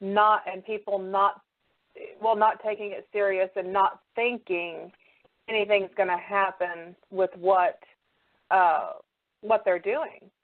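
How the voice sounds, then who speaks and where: A woman's voice: medium pitch, fairly even intonation, slow, narrow, tinny audio. A woman hosting a casual interview, talking in a loose, hesitant, conversational way. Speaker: show host, interviewer.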